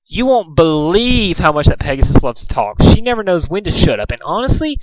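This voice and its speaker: A man's voice: a little high, sing-song, brisk, dry recording, pitched above the performer's own voice. A man voicing a hyper, chatty young female cartoon pony who rambles on obliviously and playfully. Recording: dull lo-fi home recording.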